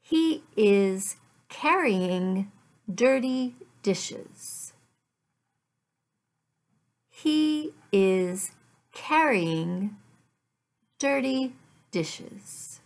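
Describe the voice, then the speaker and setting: A middle-aged woman teaching English, speaking deliberately with careful enunciation and repeating simple phrases for learners. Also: mid-range voice, animated. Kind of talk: slow, simplified language lesson read aloud. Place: furnished room at home.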